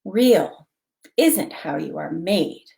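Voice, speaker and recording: A woman voicing a wise, gentle old male toy horse in a storybook, explaining things patiently and truthfully. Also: lowish voice, expressive, very slow, gravelly, full character voice. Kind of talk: storybook character reading.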